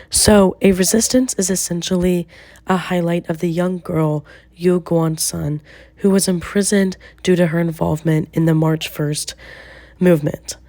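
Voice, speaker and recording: Low, mellow-voiced young woman presenter. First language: English. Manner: careful, slowed delivery on names. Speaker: student presenter. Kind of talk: scripted film review narration.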